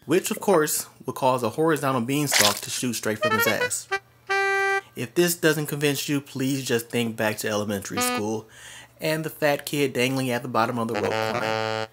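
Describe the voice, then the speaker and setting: A man's voice: medium pitch, moderate pace. Sardonic male comic storyteller over music. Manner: profane, sardonic, slangy. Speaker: host and comedic storyteller. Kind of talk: scripted comic narration.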